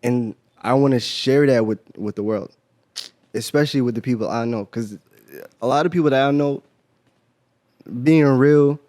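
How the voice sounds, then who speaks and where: A man hosting a podcast interview in a casual, earnest way, asking questions into a studio boom mic. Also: normal speed, long pauses, a little mellow, no room sound. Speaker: podcast host. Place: small home podcast studio, indoors. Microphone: studio condenser on boom arm, headphones.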